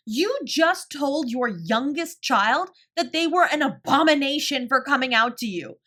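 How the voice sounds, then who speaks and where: This is a woman acting as a protective older sibling in an audio roleplay drama. The voice is high for a woman, with a bright, forceful edge. The delivery is emphatic and scolding, with sarcasm aimed at a parent who has let the family down. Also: expressive, a little slow, full character voice. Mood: furious, sarcastic. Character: fiercely protective older sibling confronting their father.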